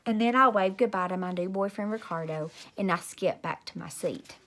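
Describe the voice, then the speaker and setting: A woman's voice: mid-range voice, normal speed, a bit bright. A woman storyteller reading a children's book expressively to young listeners. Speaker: read-aloud teacher, storyteller.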